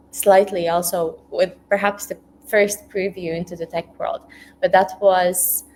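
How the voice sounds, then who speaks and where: A woman's voice: on the low side, a little mellow, poor audio. A woman of about thirty with a Latvian accent, talking informally as a guest speaker in a reflective, somewhat hesitant way. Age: around 30. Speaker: guest speaker, nonprofit tech professional. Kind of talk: informal career talk. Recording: faint background noise.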